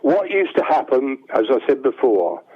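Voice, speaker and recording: An older man with a bass-baritone voice, telling rambling stories as a songwriter guest. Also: very animated, moderate pace, breathy edge. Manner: rambling anecdotes, acts out quoted dialogue. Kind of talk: casual storytelling. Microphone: telephone. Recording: tinny phone line.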